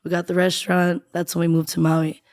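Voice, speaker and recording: A woman in her late thirties, from the Philippines and raised in Hawaii, chatting as a guest in a relaxed, slangy way and laughing often. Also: fairly low pitch, a little fast, dry recording, studio quality. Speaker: guest musician. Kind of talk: casual interview chat.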